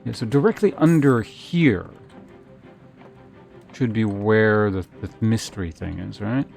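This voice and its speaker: A man chatting casually on a game livestream, thinking aloud in hesitant, trailing half-sentences with a gravelly fry to his voice. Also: on the low side, a little slow, a little mellow. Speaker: game streamer. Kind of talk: casual livestream gameplay chat. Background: faint game music.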